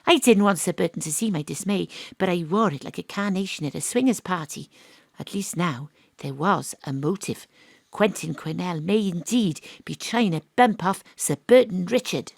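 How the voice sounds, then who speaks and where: An actress playing a wry, cocky woman detective who narrates her case in a deadpan, confiding audio drama style. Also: fairly low pitch, normal speed, creaky, no echo.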